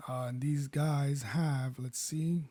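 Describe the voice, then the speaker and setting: A man with a baritone voice, casually walking viewers through making a beat and rambling as he goes. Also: slow. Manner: relaxed, rambling, self-correcting. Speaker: beat producer. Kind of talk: casual tutorial talk. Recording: faint music behind.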